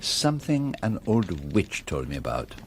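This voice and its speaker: An actor playing a shrewd, courteous older detective in a radio drama, with a French-speaking Belgian accent and formal, precise, deliberate phrasing. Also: male voice, sits low, very animated, a little hiss or hum. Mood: thoughtful.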